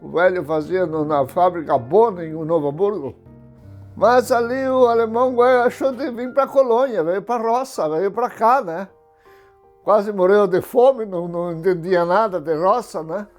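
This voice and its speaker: A man in his eighties from southern Brazil, his voice pitched fairly high for a man, answering questions for a documentary. He talks about his father in short, matter-of-fact remarks that end in tag questions. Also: normal speed, soft background music. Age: 80s. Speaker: interviewee. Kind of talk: documentary interview reminiscence.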